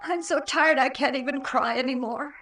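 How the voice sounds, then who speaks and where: A woman's voice: sits high, normal speed, fairly bright tone. A woman playing a fragile, distressed young sweetheart, weary and clinging, in an old-time radio horror drama. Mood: weary, faint. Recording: roomy, distant old radio recording.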